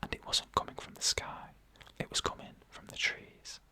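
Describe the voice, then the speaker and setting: Hushed, bright whispering ASMR narrator. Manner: slow, hushed, deliberate, short pauses between phrases. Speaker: narrator reading first-person stories.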